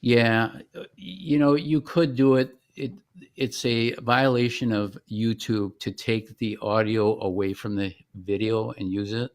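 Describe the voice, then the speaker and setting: A man explaining software step by step in a conversational, informal product demonstration. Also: lowish voice, fairly even intonation, unhurried.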